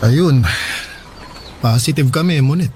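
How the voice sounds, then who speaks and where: A man's voice: mid-range voice, slow pace, heavy background noise, close to an everyday voice. A man acting a tired but reassuring father caring for his sick child in a radio drama. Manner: reassuring, weary, plain-spoken.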